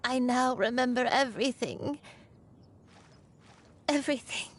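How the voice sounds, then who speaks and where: A woman voicing a gentle, sorrowful elderly grandmother in a video game, speaking haltingly. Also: high-pitched, heavy background noise.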